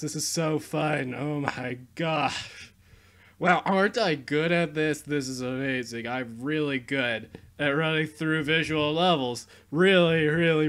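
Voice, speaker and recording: A man's voice: fairly high pitch, a bit bright, clear, resonant tone. A young man giving rambling, mock-boastful commentary while playing a game at his computer. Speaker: YouTuber. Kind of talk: casual gameplay commentary.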